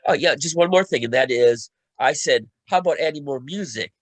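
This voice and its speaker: A man's voice: a little high, brisk, no echo. A man talking casually on a panel as a co-writer, often repeating himself and restarting phrases as he recounts a creative process. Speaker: radio play co-writer and panelist.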